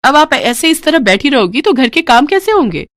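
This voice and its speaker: A woman's voice: sits high, big pitch swings, normal speed, no room sound, pitched above the performer's own voice. A woman voicing a bossy, greedy young daughter-in-law who scolds and orders people around in a storybook drama. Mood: scolding. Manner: haughty, scolding, commanding.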